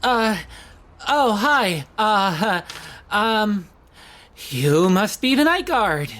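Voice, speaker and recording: A woman's voice: medium pitch, sing-song, very slow, a bit bright, exaggerated voice. A woman playing an awkward, nervous young job seeker in an audio drama, hesitant, stammering and rambling. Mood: flustered, apologetic. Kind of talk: naturalistic audio drama acting.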